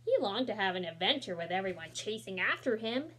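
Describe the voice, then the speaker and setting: A young woman reading a children's picture book aloud in a small room, expressive and adding casual asides, recorded on a laptop camera. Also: medium pitch, a little fast, low-quality recording.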